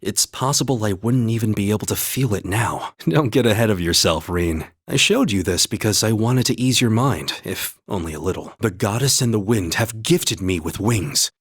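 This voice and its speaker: A man voicing an earnest, calm young male warrior in a video game, speaking in a measured, sincere and formal way. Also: lowish voice, slightly rough. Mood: sincere, reassuring. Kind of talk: video game voice acting, battle calls and dialogue.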